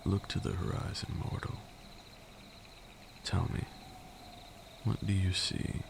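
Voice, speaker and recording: A man's voice: steady tone, background noise, heavily acted. A man playing an ancient, brooding vampire lord in an intimate ASMR roleplay, seductive and menacing, with an archaic, commanding way of talking. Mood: calm, brooding. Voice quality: deep gravelly voice, breathy at times. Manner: archaic, deliberate, teasing and commanding.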